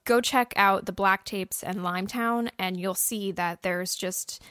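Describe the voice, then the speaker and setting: Woman, medium pitch, podcast co-host, casual explanatory chat, informal, dry recording. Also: steady tone, a little slow, fairly bright tone, no room sound. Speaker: podcast co-host and audio drama writer.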